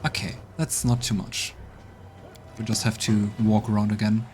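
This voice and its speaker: A man's voice: lowish voice, little pitch movement, normal speed, darkish tone. A man streaming a game, thinking aloud and muttering commands with plenty of hesitation. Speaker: let's play streamer. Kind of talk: casual gameplay commentary. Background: faint game music.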